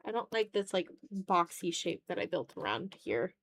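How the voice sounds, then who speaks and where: A woman's voice: lowish voice, very animated, normal speed, creaky, fairly bright tone. A woman chatting casually on a live art stream as she draws, playful and a bit dramatic, with offhand swearing. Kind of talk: casual live-stream chat while drawing.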